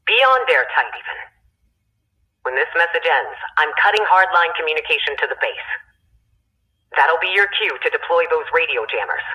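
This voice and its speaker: A woman playing a confident, commanding resistance leader, giving deliberate mission briefings in a video game. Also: deep voice, animated, sharp tone, rough recording. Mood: resolute, serious.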